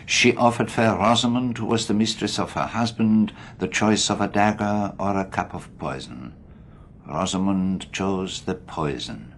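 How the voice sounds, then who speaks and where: Man playing shrewd foreign detective in a radio play, low voice, formal and precise, bit of background noise. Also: close to an everyday voice. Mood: insistent. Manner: formal, deliberate, precise phrasing. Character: shrewd, calm male foreign detective, probing and self-assured. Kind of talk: radio drama acting.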